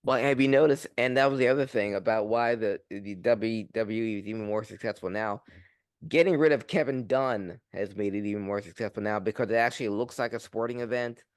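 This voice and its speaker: A man's voice: fairly low pitch, a little fast, smooth, dry recording, studio quality. A man on a wrestling podcast, analytically listing production details. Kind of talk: casual wrestling podcast chat.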